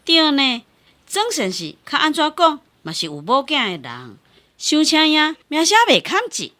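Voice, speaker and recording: A woman's voice: sits high, wildly swooping pitch, normal speed, pushed and bright, dry recording, exaggerated voice. A woman with a Taiwanese accent acting a gossipy, confiding member of an opera troupe in a radio drama. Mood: knowing, gossipy. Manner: confiding, insinuating.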